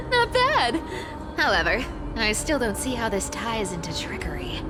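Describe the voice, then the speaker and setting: A young female game rogue, sardonic and carefree. Her pitch moves about in animated swings with a wry, teasing edge. Music plays clearly behind her. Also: takes it slowly, exaggerated voice, rough recording. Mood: suspicious, probing. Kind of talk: video game character dialogue.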